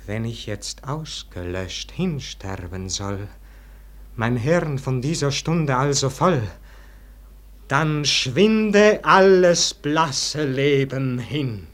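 A man's voice: mid-range voice, expressive, very slow. An actor playing a brooding, exalted dying nobleman in a vintage German radio verse drama, declaiming theatrically. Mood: fervent. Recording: old radio recording with faint noise.

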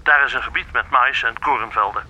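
An actor playing a formal coastguard dispatcher over a scanner radio in a Dutch radio play, factual, with a Netherlandic accent. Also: male voice, mid-range voice, fairly quick, lo-fi sound. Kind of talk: formal scripted announcement. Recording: noisy radio scanner sound.

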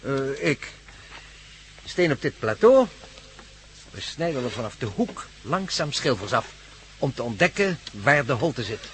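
An actor playing a keen investigator in a radio drama, explaining an experiment eagerly. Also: male voice, medium pitch, sing-song, measured pace, long pauses, a bit bright, a little hiss or hum. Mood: focused, excited. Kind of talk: Dutch radio drama acting.

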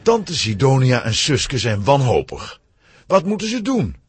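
A man narrating a scripted children's adventure story in an expressive storytelling manner. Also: medium pitch, a little slow, husky, dry recording.

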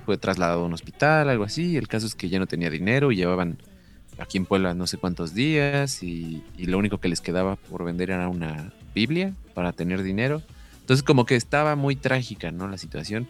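Mexican male podcast co-host, young adult, very low voice, faint music behind, loose rambling telling. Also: a little fast. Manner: relaxed storytelling with many fillers. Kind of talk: casual chat.

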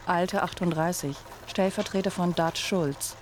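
Woman as low-voiced, impersonal dossier announcer. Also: normal speed, a little hiss or hum, close to an everyday voice. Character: impersonal female announcer reading criminal dossiers. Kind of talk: clipped scripted radio play announcement.

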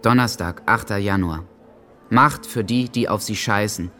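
A brooding teenage boy reads diary entries very slowly in a low voice. The radio drama delivery is deliberate, over faint music. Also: sharp tone. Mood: bleak, subdued.

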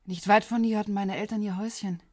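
An actress playing a self-assured, flirtatious middle-aged policewoman with gentle irony in a radio drama. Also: mid-range voice, steady tone, quick pace, dry recording, clean studio sound, lightly acted. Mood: intimate.

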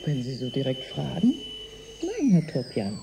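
A guarded, scornful conspirator in a science fiction radio drama. Her very mellow, low voice is lively in pitch and full of cool, cutting mockery, with faint noise behind it. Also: a little slow, creaky, full character voice. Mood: cold. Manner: cutting, mocking.

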